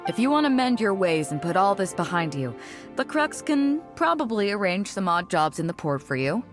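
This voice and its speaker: A woman's voice: mid-range voice, very animated, normal speed, lightly acted. An actress playing a confident, easygoing female pirate captain in a video game, with a swaggering, casual and self-assured manner. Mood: confident, generous. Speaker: video game character voice actor. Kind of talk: scripted game dialogue. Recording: clean studio voice over game music. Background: game background music.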